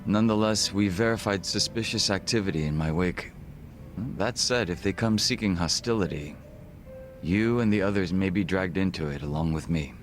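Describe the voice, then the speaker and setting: An actor playing a composed, formal gangster second-in-command in a video game cutscene, measured and reserved. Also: male voice, low voice, faint music behind, close to an everyday voice.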